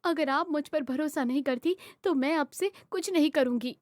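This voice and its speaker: A woman voices a young daughter-in-law in a cartoon family drama. She is high-pitched, proud and earnest, with emphatic delivery and a very dry sound. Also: moderate pace, no echo, lightly acted. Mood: aggrieved. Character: proud, aggrieved young daughter-in-law, stubborn but respectful. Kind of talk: Hindi animated family drama acting.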